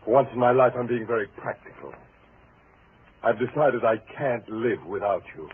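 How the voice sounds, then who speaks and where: An actor playing a bitter, obsessed, menacing husband in a theatrical, mock-polite style for a 1940s radio thriller. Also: male voice, mid-range voice, moderate pace, close to an everyday voice. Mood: cool, calculating. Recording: tinny, hissy 1940s radio recording.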